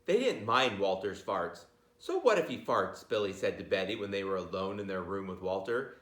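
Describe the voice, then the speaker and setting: A man's voice: deep voice, very animated, moderate pace, fairly bright tone, reverberant. A middle-aged American man reading a children's book aloud with chatty asides, playful and theatrical, drawing words out for suspense.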